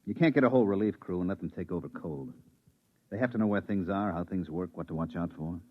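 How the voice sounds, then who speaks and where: An actor playing a quiet, careful veteran pilot on a moon base in a 1950s radio drama, speaking in a measured, reflective way. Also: male voice. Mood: steady. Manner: low-key, measured, reflective. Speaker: lead in a radio play. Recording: muffled vintage radio broadcast.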